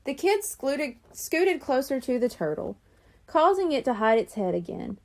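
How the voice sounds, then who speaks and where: A woman reading a children's chapter book aloud in an expressive storytelling manner. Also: high voice, moderate pace. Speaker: audiobook reader.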